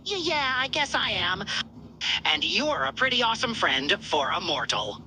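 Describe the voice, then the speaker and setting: A woman's voice: medium pitch, very animated, moderate pace, bright, forceful tone, slight background noise. A woman voicing a small male eyeball alien army commander, pompous and indignant, stammering as he gets flustered. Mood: bashful.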